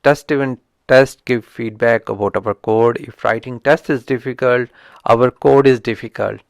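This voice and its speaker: Indian-accented man, smooth and dry. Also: medium pitch, fairly even intonation, dry recording. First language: not English. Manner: halting, with frequent restarts and self-corrections. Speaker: channel host reviewing a book. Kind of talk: unscripted spoken book review.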